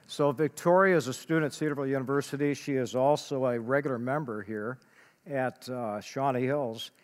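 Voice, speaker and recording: A man leading a church service as pastor, praying and preaching in a measured way with frequent hesitant pauses. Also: medium pitch. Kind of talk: church announcement, prayer and sermon. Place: church sanctuary. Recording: long church hall reverb.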